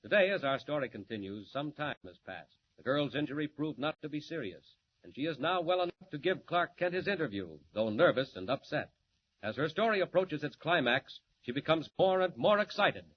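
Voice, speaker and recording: A man's voice: a little high, lively intonation, a little fast, lightly acted. A man narrating a 1940s radio serial as its announcer, with suspenseful, theatrical, scripted delivery. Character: dramatic male radio serial announcer recapping the story. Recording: dull, noisy 1940s radio recording.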